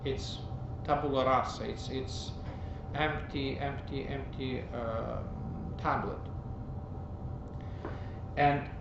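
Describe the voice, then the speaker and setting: A man, a priest, giving a teaching sermon in a slow, halting way, pausing often and repeating words for emphasis. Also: mid-range voice, fairly even intonation, creaky. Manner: slow, halting, with many pauses and fillers. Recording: roomy, dull, noisy recording.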